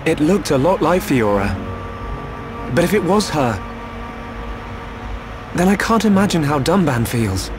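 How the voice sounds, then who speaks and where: A young man acting an earnest, brooding young hero in a video game, sincere and soft-spoken. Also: fairly high pitch, big pitch swings, measured pace, long pauses, background noise. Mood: troubled. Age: young adult. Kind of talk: dramatic video game dialogue. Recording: music and rain behind voice.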